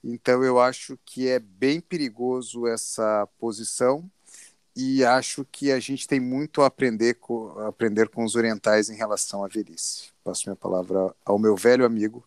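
A man's voice: medium pitch, fairly even intonation, moderate pace, dry recording, studio quality. A man with a Brazilian accent in a reflective panel discussion, searching for his words as he goes.

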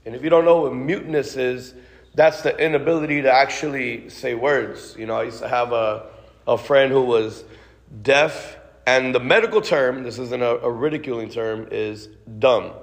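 A man's voice: medium pitch, vocal fry. A man preaching a sermon and praying aloud in a casual, conversational way, full of restarts, with "God" and "Lord" tags. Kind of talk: sermon with spoken prayer. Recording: long reverb of a large hall.

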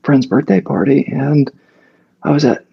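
Husky gravelly man telling a story. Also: medium pitch, steady tone, unhurried, highs cut off. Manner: rambling, many fillers and restarts. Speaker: actor, guest. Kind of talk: casual interview storytelling.

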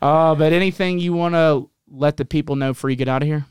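A young man with a slightly high voice, hosting a casual podcast on a dry, close mic. He jokes crudely and keeps a laid-back, teasing tone. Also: fairly even intonation, fast, clear, resonant tone, no room sound. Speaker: podcast host.

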